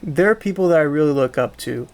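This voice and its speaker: A man hosting a casual podcast conversation, talking haltingly and circling back to rephrase with keen interest. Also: on the high side, expressive, darkish tone. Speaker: podcast host.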